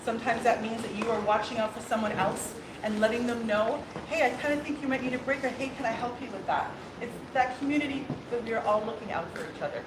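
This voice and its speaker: A woman's voice: a little high, quick pace. A woman from Ireland speaking as a new princess opening a court, laughing often and stumbling over fillers. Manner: warm, laughing, many fillers and restarts. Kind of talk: ceremonial speech and casual exchange. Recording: echoey hall with background noise.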